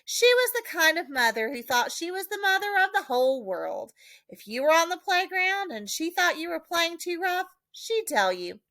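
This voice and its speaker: A woman reading a children's storybook expressively, with dramatic, deliberate emphasis. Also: very high voice, very animated, pushed and bright, no echo. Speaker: audiobook reader, teacher.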